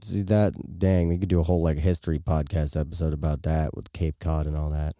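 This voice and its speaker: A man's voice: very deep voice, flat delivery, a little fast, mellow, dry recording, clean studio sound. A man co-hosting a podcast, reacting casually with laid-back, jokey interjections. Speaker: podcast co-host.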